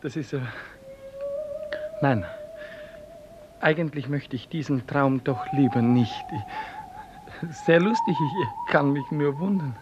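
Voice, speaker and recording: An actor playing a timid, unsettled man speaking haltingly in a 1950s radio play. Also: on the high side, lively intonation. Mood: bemused, uneasy. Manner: hesitant, halting, bemused. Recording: muffled old radio recording with music.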